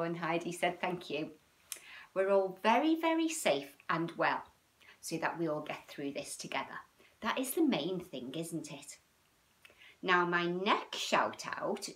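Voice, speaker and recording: Children's storytime host: British woman, 50s, very varied pitch, chatty, sing-song, child-directed delivery. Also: a little slow. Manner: animated, sing-song, addressing children with frequent tag questions. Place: small room at home, indoors.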